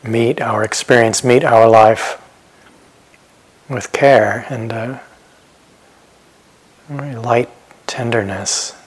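A man giving guided meditation instructions as a teacher, speaking gently and unhurriedly with pauses and phrases that trail off softly. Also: medium pitch, steady tone, husky, low-quality recording. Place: meditation retreat center.

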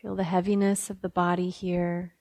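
Female meditation guide: gentle, unhurried, flat-pitched delivery in a dry, close sound. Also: no room sound. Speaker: meditation teacher.

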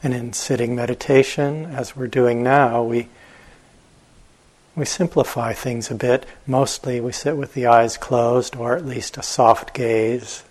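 A man teaching meditation, giving guided instructions gently and unhurriedly, with long pauses and a husky voice. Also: medium pitch, fairly even intonation.

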